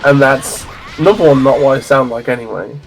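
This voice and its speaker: A man's voice: medium pitch, brisk, vocal fry, soft background music. A Welsh man streaming a video game, musing aloud in casual chat with stammering restarts. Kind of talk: casual chat while gaming.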